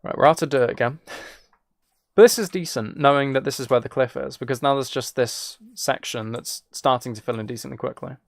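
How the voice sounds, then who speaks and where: A man's voice: mid-range voice, brisk, gravelly, dry recording. A man chatting casually as he streams a game, rambling along in a self-deprecating way. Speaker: live streamer. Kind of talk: casual gaming livestream chat, commentary.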